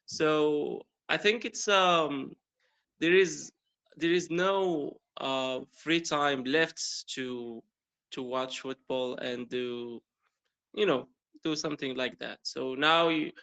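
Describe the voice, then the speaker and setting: A man's voice: medium pitch, pushed and bright, clear, resonant tone. A young man from Morocco, an Arabic speaker practicing English in casual conversation, hesitant, with many fillers and restarts. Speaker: primary school teacher practicing English.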